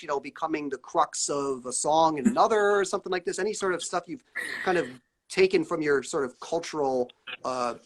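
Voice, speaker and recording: A man's voice: fairly high pitch, fairly bright tone. A man hosting a live-streamed interview, talking loosely and rambling with many fillers such as "uh" and "you know". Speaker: interview host.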